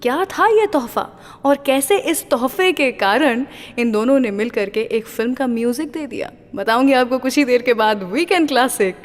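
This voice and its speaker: A woman's voice: sits high, lively intonation, moderate pace, big-room sound. A woman hosting a classic-music radio show, telling a story and building suspense with questions. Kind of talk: scripted radio presenting.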